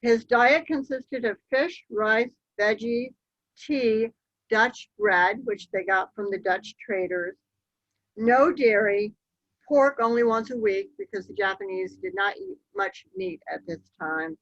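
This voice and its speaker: A woman giving a slide-show history talk, telling the story in a measured way with occasional ums. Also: on the high side, expressive, fairly bright tone, dry recording. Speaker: local history speaker. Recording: dull, lo-fi dry recording.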